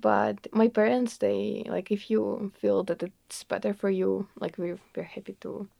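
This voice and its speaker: Young woman, Russian accent, mid pitch, gravelly edge, earnest interviewee, dry room. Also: little pitch movement, measured pace, dark tone, dry recording. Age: young adult. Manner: earnest, fillers like "like" and "you know", laughs often. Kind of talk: casual conversational interview.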